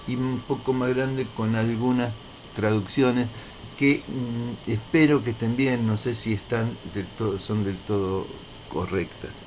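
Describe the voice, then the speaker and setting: A man with a fairly low voice presents a lecture. He speaks deliberately and didactically, returning to key phrases to stress them. There is faint noise behind him. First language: Spanish. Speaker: university lecturer.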